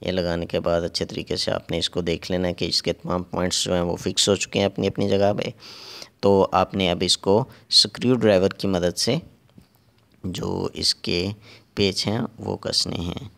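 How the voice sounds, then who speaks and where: A man narrating a hands-on repair tutorial step by step, halting and repeating himself as he works. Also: low voice, level tone, gravelly, fairly bright tone. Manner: halting and repetitive, pausing while working. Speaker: repair technician giving a tutorial.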